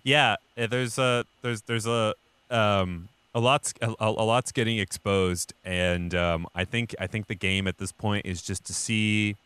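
A man's voice: fairly low pitch, steady tone, a little slow, a bit bright, clear, resonant tone, dry recording. A man co-hosting a casual comedic news podcast, rambling and colloquial, with some swearing. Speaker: podcast host.

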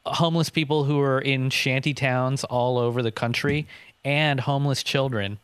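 An American man co-hosting a podcast, laying out political points in a measured, explanatory, conversational way. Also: medium pitch, steady tone, a bit bright, clean tone, dry recording. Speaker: podcast co-host. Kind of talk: casual political panel discussion.

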